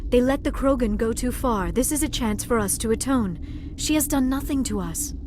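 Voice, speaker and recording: Woman as an earnest, higher-voiced alien woman. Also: moderate pace, noisy background, close to an everyday voice. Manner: urgent, articulate pleading. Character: female alien scientist, earnest, compassionate, insistent. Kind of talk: video game character acting.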